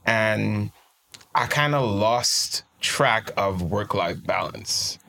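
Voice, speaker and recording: A man's voice: fairly low pitch, lively intonation, very slow, vocal fry, sharp tone. A man, speaking as a guest life coach in a casual interview and telling his story in a reflective, open way. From Trinidad and Tobago.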